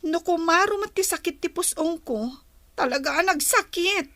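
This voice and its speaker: A woman's voice: extremely high pitch, expressive, moderate pace, pushed and bright, no echo, exaggerated voice. A woman playing an ailing, pleading young daughter in a radio drama, with a Filipino accent. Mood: weakly reassuring. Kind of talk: Ilocano radio drama acting.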